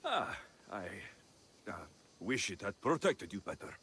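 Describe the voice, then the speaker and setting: An actor playing a modest, good-natured young mercenary hero in a video game, with a humble, slightly bemused, reassuring manner. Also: male voice, fairly low pitch, big pitch swings, a little slow, pushed and bright, slight background noise, exaggerated voice. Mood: awkward, kind. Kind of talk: video game cutscene acting.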